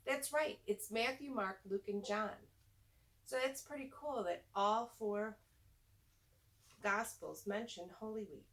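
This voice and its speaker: A woman hosts a storytime for young children, reading rhyming verse at an unhurried pace. Her delivery is deliberate and teacher-like, with a medium-pitched voice. She sounds slightly distant from the microphone. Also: a bit bright. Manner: slow, deliberate, teacher-like address to young listeners. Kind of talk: read-aloud rhyming children's storybook with simple friendly asides.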